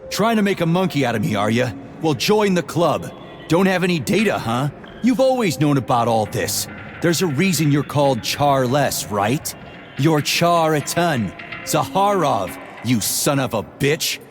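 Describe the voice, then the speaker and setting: An actor playing a gruff, foul-mouthed male army major who speaks with sarcasm. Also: a little high, very animated, measured pace, faint music behind.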